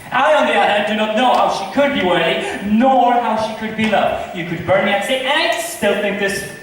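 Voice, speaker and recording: A man playing a high-pitched, mocking theatrical bachelor. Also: a little fast, fairly bright tone. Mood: scornful. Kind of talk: amateur school stage acting of modernized Shakespeare comedy. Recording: echoey hall, distant mic, noisy.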